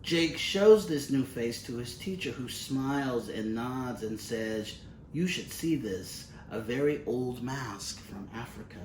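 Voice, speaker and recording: A man reading a children's storybook aloud expressively, in measured, deliberate phrases with pauses. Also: mid-range voice, lively intonation, darkish tone. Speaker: picture book reader. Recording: roomy, distant mic with faint noise.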